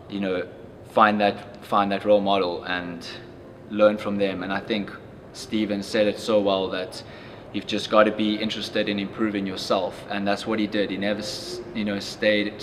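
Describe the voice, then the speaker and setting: A man's voice: lowish voice, noisy background. A young man reading a story aloud, then reflecting on it in an earnest, thoughtful way with plenty of fillers. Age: young adult. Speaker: young reader reacting to a story.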